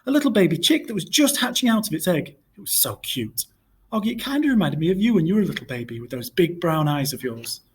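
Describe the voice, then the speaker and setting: A man voicing a caring, gently persuasive mother in a storybook. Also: on the high side, very animated, quick pace, breathy edge, voice pushed up. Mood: encouraging.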